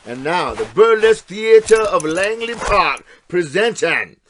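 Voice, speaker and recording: A man's voice: sits high, very animated, a little slow, fairly bright tone, slight background noise, full character voice. Audio play: a boozy, taunting husband, menacing yet clownish, theatrical, taking his time over words. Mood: crude showmanship. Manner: taunting, theatrical, swinging into outbursts. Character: drunk, menacing, melodramatic estranged husband, crude and clownish.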